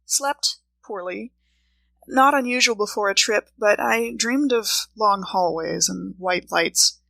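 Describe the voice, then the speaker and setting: A woman's voice: mid-range voice, slow pace, creaky, fairly bright tone. A woman playing a troubled dreamer in a dramatic first-person monologue, brooding and precise. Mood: brooding, haunted.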